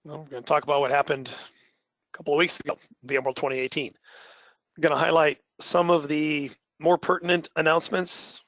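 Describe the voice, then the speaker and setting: A man with a voice gone raspy from a cold, presenting a conversational, upbeat technical webinar as a trainer. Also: on the high side, flat delivery, a little fast, a bit bright, no echo, lo-fi sound.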